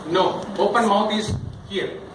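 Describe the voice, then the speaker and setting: A man with an Indian accent giving an interactive public speaking training talk, jokey and animated, often checking with his listeners. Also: high voice, unhurried. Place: indoor room in front of a live group. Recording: very echoey room, distant mic, noisy.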